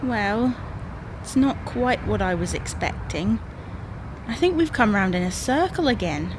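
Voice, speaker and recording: An actress playing an exasperated, bossy British wife in a domestic comedy audio drama, nagging and insistent. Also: female voice, mid-range voice, expressive, unhurried, natural voice. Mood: grudging, insistent. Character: exasperated, bossy wife navigating for her husband. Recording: noisy, car ambience.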